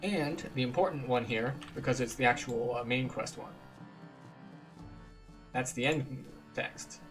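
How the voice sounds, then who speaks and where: A man's voice: medium pitch, measured pace. A man giving casual, dry-humored commentary while playing through a video game. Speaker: gameplay tour guide. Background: faint game music.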